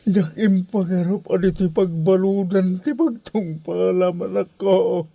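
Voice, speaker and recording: A man playing an emotional elderly father in an Ilocano radio drama, with an Ilocano Filipino accent and a halting, sighing delivery. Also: high voice, fairly even intonation, creaky, warm tone, dry recording, highs cut off, exaggerated voice. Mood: tender, sorrowful.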